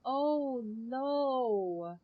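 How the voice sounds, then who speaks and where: A woman's voice: high voice, lively intonation, very slow, phone-quality sound. A woman with a Filipino accent casually chatting and reacting aloud while streaming a game. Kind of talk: casual live commentary while gaming.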